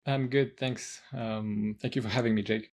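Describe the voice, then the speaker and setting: A man's voice: on the low side, steady tone, moderate pace. A French man in his thirties with a French accent, speaking English as a second language and casually telling his own story as a podcast guest. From France. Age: 30s.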